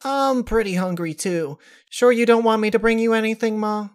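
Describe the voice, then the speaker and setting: A man's voice: high voice, fairly even intonation, moderate pace, pitched above the performer's own voice, lightly acted. A man voicing an earnest, fussing young country pony daughter in a story reading, with an eager folksy manner. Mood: solicitous. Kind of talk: dramatized fan-fiction reading.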